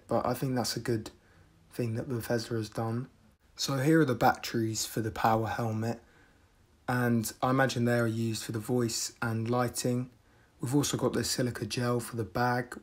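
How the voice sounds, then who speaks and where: A man giving an unboxing review voice-over in a measured, matter-of-fact way. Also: medium pitch. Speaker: video reviewer.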